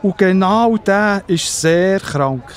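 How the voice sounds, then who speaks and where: A man's voice: high-pitched, lively intonation, takes it slowly, some chatter behind. A man playing a humble, worried Roman centurion in a children's audio play. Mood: anxious pleading. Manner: earnest, pleading.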